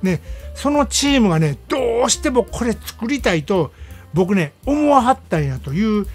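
A man's voice: sits high, big pitch swings, normal speed, husky, soft background music. A man with a Kansai accent hosting a radio show, chatting casually and emphatically as he reviews films in a monologue. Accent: Kansai Japanese. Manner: chatty, emphatic, peppering phrases with "ne".

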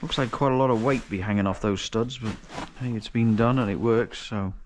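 Low-voiced man narrating his workshop job. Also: fairly quick, slight background noise. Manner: thinking aloud while working, with restarts and self-corrections. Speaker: home mechanic vlogger. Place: large cluttered garage workshop, indoors. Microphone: chest-mounted action camera.

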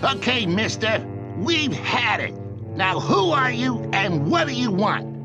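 A man plays a tough, impatient gangster in a comic-book audio drama. The male voice is high and animated, bossy and streetwise, with music behind it. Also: takes it slowly, full character voice. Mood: angry.

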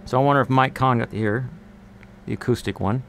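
A man's voice: mid-range voice, steady tone, brisk, gravelly, a bit bright, soft background music. Casual man chatting on a livestream. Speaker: live streamer and singer-songwriter. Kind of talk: casual live stream chat. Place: small bedroom home studio. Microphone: large condenser mic on boom arm, close.

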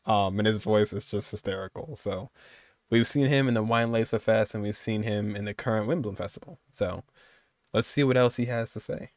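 A man's voice: on the low side, moderate pace, no room sound, clean studio sound. A man, giving informal, amused reaction commentary as a streamer. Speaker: streamer reacting to game videos. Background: faint music.

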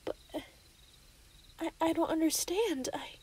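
A woman's voice: extremely high pitch, a little slow, darkish tone. A woman playing an obsessive, lovestruck young female werewolf in a yandere ASMR roleplay, menacing and possessive. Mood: pleading, confiding.

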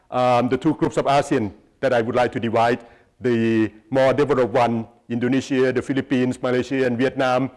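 Guest lecturer: middle-aged man, Thai accent, medium pitch, pausing mid-phrase as he presents. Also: a little mellow. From Thailand. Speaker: guest speaker, research institute president. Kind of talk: public lecture with slides. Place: lecture hall, on stage before seated audience.

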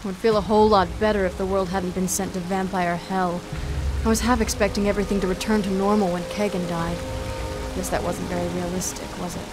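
A woman's voice: medium pitch, little pitch movement, brisk, music in the background, lightly acted. A woman playing a tough, sardonic half-vampire action heroine in a video game cutscene, wry and mocking. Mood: wry, weary.

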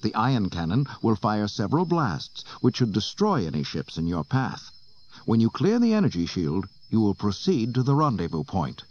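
A man voicing a brisk, urgent young woman who leads rebels, briefing pilots firmly in an audiobook on a hissy cassette. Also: lowish voice, huge pitch swings, a little mellow, pitched above the performer's own voice. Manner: firm, earnest briefing. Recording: dull cassette transfer with hiss.

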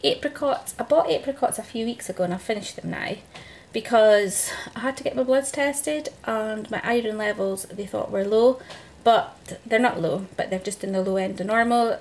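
A woman, chatting casually through a grocery haul voice-over, relaxed and full of ums. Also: medium pitch, normal speed, creaky. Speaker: vlogger.

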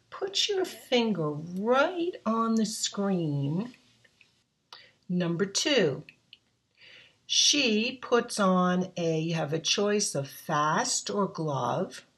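Slow, sing-song woman teacher. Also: medium pitch. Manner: drawn-out words, praises often, thinking-aloud hums. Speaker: English reading teacher. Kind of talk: slow, encouraging worksheet walkthrough.

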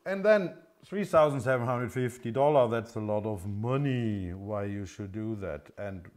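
A man in his fifties with a continental European accent, a non-native English speaker explaining things off the cuff in a halting, hesitant way as a workshop presenter. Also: on the low side, lively intonation, a little mellow. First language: not English. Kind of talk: unscripted product explanation. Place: indoor engine workshop showroom.

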